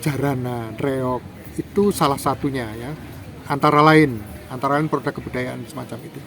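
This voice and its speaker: A man's voice: moderate pace, noisy background. A man from Java, an interview guest explaining culture in a lecturing, emphatic way. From Java, Indonesia. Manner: lecturing, emphatic, lively rises in pitch for examples.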